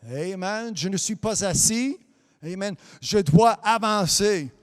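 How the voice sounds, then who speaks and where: A man whose first language is English, preaching a French sermon as a pastor, dropping amen and hallelujah between halting restarts and sometimes lifting into a sing-song cadence. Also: high-pitched, expressive, big-room sound. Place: church hall.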